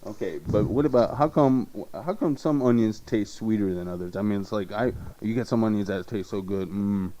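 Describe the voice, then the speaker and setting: A man's voice: on the low side, normal speed, few pauses, dark tone. A man bantering casually as a sidekick on a radio show.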